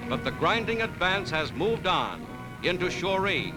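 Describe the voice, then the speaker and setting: A man's voice: high voice, very animated, measured pace, low-quality recording. An American man narrating a 1940s newsreel in a declamatory, dramatic manner. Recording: 1940s newsreel with loud music.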